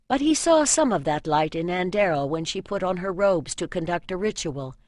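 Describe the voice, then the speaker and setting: A woman reading scripted fantasy narration as an audiobook narrator, with measured storytelling. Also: fairly low pitch, no room sound, studio quality.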